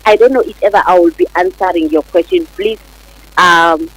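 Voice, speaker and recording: A young woman giving personal testimony as an interview guest, speaking haltingly and politely. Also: on the low side, poor audio. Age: young adult.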